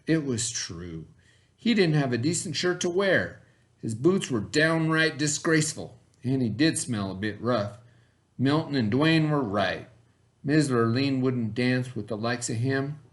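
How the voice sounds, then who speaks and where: A middle-aged man reading a picture book aloud to a class in a folksy storybook manner, pitching his voice up for the characters. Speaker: teacher.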